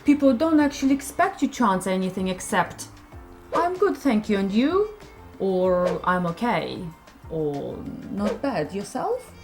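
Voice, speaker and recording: Russian-accented woman, lively explainer voice. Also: mid-range voice, measured pace, faint music behind. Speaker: co-host teaching about English culture. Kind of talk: scripted explainer narration.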